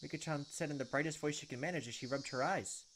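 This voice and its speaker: A man's voice: on the high side, fast, background noise. A man reading visual novel narration aloud as a gameplay host, breaking in with blunt, informal reactions. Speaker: let's play host. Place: indoors at a desk, webcam gameplay. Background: game music, insect sounds.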